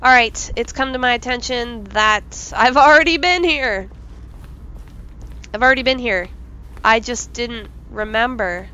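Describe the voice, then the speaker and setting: A woman with a stuffy nose, commenting casually and excitably on a video game as its let's play host. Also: a little high, a little slow, smooth, dull audio. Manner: excitable, bursts into yelps and laughs. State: stuffy from a cold.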